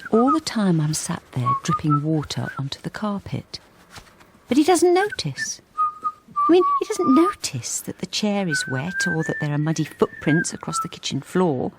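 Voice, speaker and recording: An actress playing a lonely, downtrodden wife in a radio drama, with a bleak, understated delivery. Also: female voice, mid-range voice, huge pitch swings, moderate pace, very noisy. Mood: bleak, haunted. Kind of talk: first-person radio drama narration.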